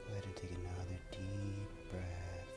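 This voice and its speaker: Male meditation guide, low and level delivery, soothing, unhurried, spacious pauses. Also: completely flat delivery. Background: music.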